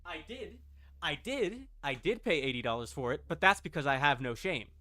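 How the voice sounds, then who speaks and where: A man with a slightly high, bright and forceful voice talks as a game streamer. He chats casually while playing, arguing his opinions with emphasis. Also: expressive, normal speed.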